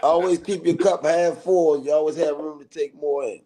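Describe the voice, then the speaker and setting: Male coach in his fifties, slightly high voice, casual, very loud background chatter. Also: steady tone, moderate pace. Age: 50s. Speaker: boxing coach, guest panelist. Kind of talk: casual chat.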